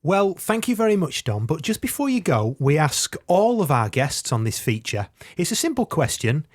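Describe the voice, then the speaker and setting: A man's voice: a little high, very animated, moderate pace. A man interviewing a guest on the radio in a conversational style. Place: radio studio.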